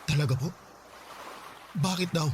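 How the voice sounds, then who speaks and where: A man's voice: fairly high pitch, measured pace, background noise, natural voice. A man playing a humble, devoted young father in a Tagalog radio drama, speaking politely and earnestly. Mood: puzzled.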